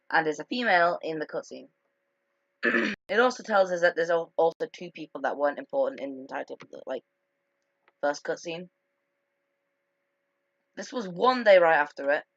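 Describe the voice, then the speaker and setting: Halting gamer commentary, dull lo-fi mic. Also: medium pitch, no room sound. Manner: halting, repeats and corrects words. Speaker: gaming video narrator. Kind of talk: casual game story commentary. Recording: dull lo-fi microphone.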